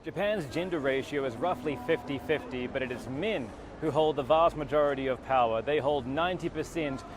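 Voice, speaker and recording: A man reporting as a television news correspondent, in measured broadcast delivery for pieces to camera and packaged stories. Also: on the high side, noisy background.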